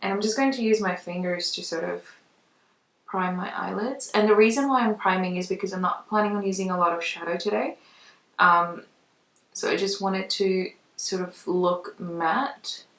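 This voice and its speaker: A woman's voice: mid-range voice, moderate pace, fairly bright tone. A woman giving a casual makeup tutorial in a chatty, conversational way. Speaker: makeup vlogger. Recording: roomy echo, distant mic.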